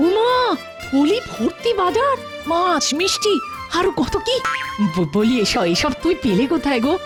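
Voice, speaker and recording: A woman's voice: very high voice, sing-song, unhurried, music in the background, heavily acted. A woman voicing a suspicious, nagging village housewife in an exclamatory cartoon dub. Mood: amazed. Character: blacksmith's wife, suspicious scolding village housewife.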